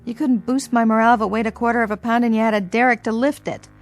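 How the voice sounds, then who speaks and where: A woman's voice: on the high side, fairly even intonation, fast, soft background music, close to an everyday voice. An actress playing a stubborn, sarcastic, worn-out showbiz woman in a radio drama, with a wry, brassy delivery.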